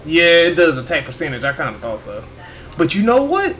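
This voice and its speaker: A man's voice: on the high side, wildly swooping pitch, fairly quick, a bit bright, slight background noise. A man giving casual, slangy commentary on a video game as he plays. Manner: slangy, informal. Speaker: gaming streamer. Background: faint game music.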